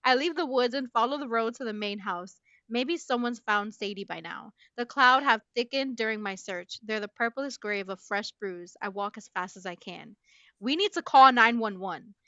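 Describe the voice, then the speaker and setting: A woman podcast host reading a novel aloud dramatically, with abrupt loud emphasis and casual asides. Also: on the high side, normal speed, no room sound, lo-fi sound. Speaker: book club podcast host.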